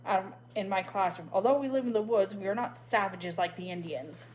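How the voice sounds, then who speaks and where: A prim young schoolteacher, as a woman reader puts her on, clipping each word crisply and firmly like an order. She keeps a mid-height voice, proper and stiff, with no warmth to spare. A faint steady hum sits under the storybook reading. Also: medium pitch, a little fast, lightly acted. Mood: stern. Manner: crisp, firm, commanding.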